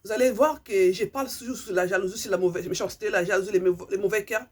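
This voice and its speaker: Husky-voiced woman. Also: mid-range voice, quick pace, a little mellow. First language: Lingala. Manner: earnest, repeats herself, hunts for words. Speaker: vlogger. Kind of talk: impassioned monologue to subscribers.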